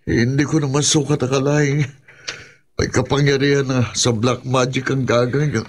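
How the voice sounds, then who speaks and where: A man's voice: mid-range voice, level tone, normal speed, close to an everyday voice. An actor playing a big, brutish, blustering syndicate henchman in a Filipino radio horror drama, with a Filipino accent. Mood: defensive. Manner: menacing, blustering. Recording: music bed behind studio dialogue.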